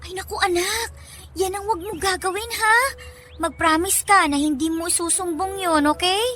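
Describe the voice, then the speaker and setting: A woman playing an anxious, gentle young mother in a Tagalog radio drama, speaking in a soothing, coaxing, emotional way. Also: very high voice, moderate pace, a little hiss or hum. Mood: coaxing, worried.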